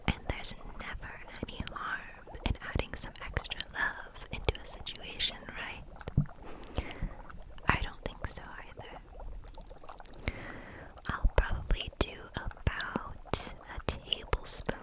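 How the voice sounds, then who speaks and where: A woman playing a gentle, kindly kitchen witch in an ASMR roleplay, speaking in a soft, soothing, nurturing whisper. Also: takes it slowly, close to an everyday voice, low-quality recording.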